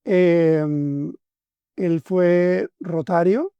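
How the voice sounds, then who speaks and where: A man's voice: sits high, steady tone, very slow, clear, resonant tone, no echo, muffled recording. A man, hosting a podcast interview and speaking with effusive enthusiasm. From Venezuela. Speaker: podcast host. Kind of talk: conversational interview.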